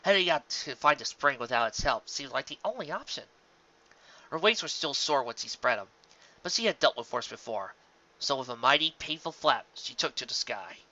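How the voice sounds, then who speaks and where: A narrator giving a measured, scripted dramatic story reading, occasionally stumbling over words. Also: mid-range voice, animated, pushed and bright, muffled recording. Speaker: amateur audiobook narrator.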